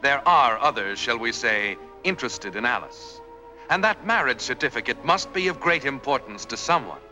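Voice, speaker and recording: An actor playing an ominous, knowing narrator in a 1940s mystery film, addressing the hero in a dramatic, sardonic, deliberate way. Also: male voice, mid-range voice, lively intonation, pushed and bright, rough recording. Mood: ominous, taunting. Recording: old film soundtrack over music. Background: music.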